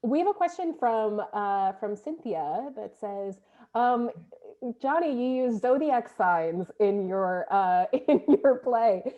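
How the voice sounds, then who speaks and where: Dull lo-fi audio, woman, dark mellow voice, panel moderator, informal conversation, casual tentative phrasing. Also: a little high, expressive, takes it slowly. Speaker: play director and panel moderator.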